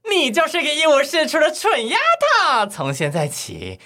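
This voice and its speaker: A man's voice: very high voice, huge pitch swings, moderate pace, bright, forceful tone, voice pushed up, exaggerated voice. A man with a Taiwanese accent voicing a domineering old witch woman, haughty and scolding, in an amateur radio drama parody. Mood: scornful, commanding.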